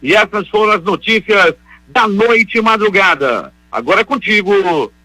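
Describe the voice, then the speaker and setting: A Brazilian man delivering a popular crime bulletin on radio, theatrical, with drawn-out cries and repeated phrases. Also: sits high, animated, heavy background noise. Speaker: radio police-beat reporter. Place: radio studio.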